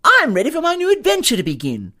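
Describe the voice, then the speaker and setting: An eager city gentleman out in the Wild West, with a very high voice that swoops wildly up and down. He speaks in flowery, formal exclamations, full of naive polish, in the style of a comic western radio play. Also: wildly swooping pitch, quick pace, heavily acted. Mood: pompous, brisk. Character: eager, genteel, naive gentleman greenhorn from New York. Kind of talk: comic western audio drama acting.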